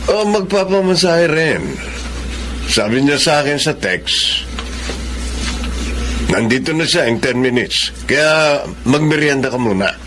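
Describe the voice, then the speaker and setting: A man's voice: a little high, animated, measured pace, pauses often, fairly bright tone. An actor playing a well-off, lecherous married man in a Filipino radio drama, with an emphatic, suggestive delivery. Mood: pleased, friendly. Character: lecherous, well-off married male client, smooth then nasty. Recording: clearly noisy background ambience.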